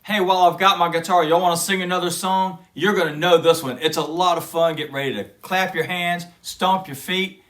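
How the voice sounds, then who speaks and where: A man hosting a kids' show, talking gently and folksily to children between guitar demos. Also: high voice, fairly even intonation, fairly quick, hardly any pauses. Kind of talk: friendly talk to kids with guitar demos. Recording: music loud in background.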